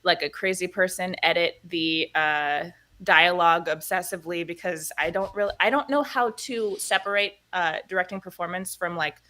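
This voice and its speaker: A woman's voice: fairly low pitch, normal speed, few pauses, sharp tone. A woman, a podcast creator, chatting casually about editing dialogue, often laughing at herself. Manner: laughing, self-deprecating. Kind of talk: casual chat.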